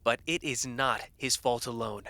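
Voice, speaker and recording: A man playing a calm, fair-minded royal ruler in an audio drama, gracious and measured in his formal speech. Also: mid-range voice, creaky, no room sound. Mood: calm, conciliatory. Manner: measured, diplomatic. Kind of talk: audio roleplay drama acting.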